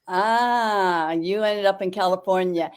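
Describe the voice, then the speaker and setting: An older woman hosting an interview over a video call, chatty and effusive, filling pauses with fillers and correcting herself. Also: medium pitch, smooth. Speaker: interview host. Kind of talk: casual interview chat.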